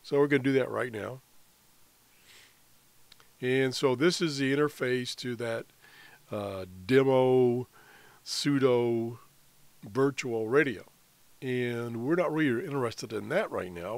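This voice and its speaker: A man with a mid-pitched voice walks through a software tutorial. He speaks very slowly and haltingly, explaining each step off the cuff and pausing mid-sentence. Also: no room sound. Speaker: amateur radio hobbyist presenting a tutorial. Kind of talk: unscripted software screencast tutorial.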